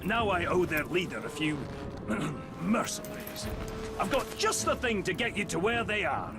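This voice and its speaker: An actor playing a jovial, eccentric dwarf tinkerer in a video game, with a folksy, teasing manner. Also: male voice, high voice, big pitch swings, moderate pace. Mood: breezy, encouraging. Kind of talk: animated video game character acting. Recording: roomy reverb under game music.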